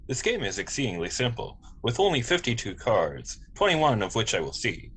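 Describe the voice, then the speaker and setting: A man's voice: mid-range voice, very animated, moderate pace, a bit bright, slight background noise. A man playing a logical, naive android officer with precise, formal wording in an amateur sci-fi script reading. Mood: matter-of-fact. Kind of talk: amateur table read of TV script.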